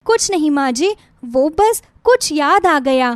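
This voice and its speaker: A woman's voice: sits high, animated, slow, dry recording, clean studio sound. A woman voicing a devoted, meek young daughter-in-law in a moral story, respectful and pleading. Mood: soft, affectionate.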